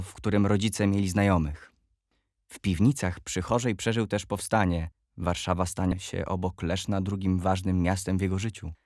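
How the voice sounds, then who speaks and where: Deep-voiced guy narrating a biography, measured and factual, dry studio-type sound. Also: no room sound. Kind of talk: scripted biographical narration in an audio play.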